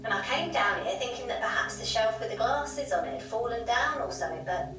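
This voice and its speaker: A woman's voice: on the high side, animated, brisk, natural voice. Woman acting an emphatic haunted landlady, echoey room. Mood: haunted, intense. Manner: emphatic, dramatic, repeats herself. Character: insistent, fearful pub landlady haunted by ghost. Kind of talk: audio drama storytelling in an interview. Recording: very echoey noisy room, distant mic.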